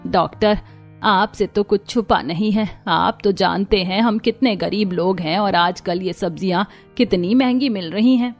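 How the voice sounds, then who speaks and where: A woman's voice: mid-range voice, unhurried, soft background music. A woman playing a careworn, resigned mother of a poor family in a cartoon story, earnestly explaining. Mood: worried. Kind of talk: Hindi cartoon story voice acting.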